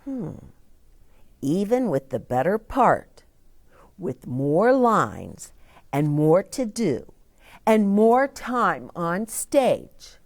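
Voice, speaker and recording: A woman reading a children's story aloud as a storyteller, in a deliberate, dramatic way with asides to young listeners. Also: lowish voice, sing-song, no room sound, clean studio sound. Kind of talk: expressive children's read-aloud.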